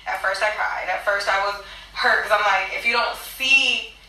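A woman's voice: medium pitch, normal speed, bright, forceful tone. A woman co-hosting a casual discussion podcast, speaking earnestly and emphatically about personal experiences. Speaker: podcast co-host. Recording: echoey room, distant mic.

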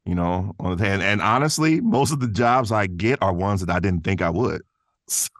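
A man's voice: lowish voice, animated, moderate pace, a bit bright, no room sound, studio quality. A man speaking informally as a guest voice actor in a casual interview, chuckling between phrases.

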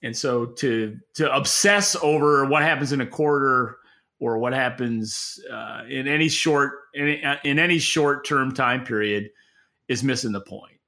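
Male podcast analyst, forceful and gravelly, emphatic and opinionated in off-the-cuff commentary. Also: mid-range voice, measured pace. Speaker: podcast co-host and industry analyst. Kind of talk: casual market commentary.

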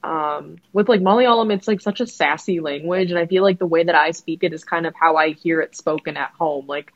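Young adult podcaster: mid-pitched, chatty, filler-heavy storyteller; dry, slightly lo-fi recording. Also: fairly quick, no echo. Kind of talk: casual podcast chat.

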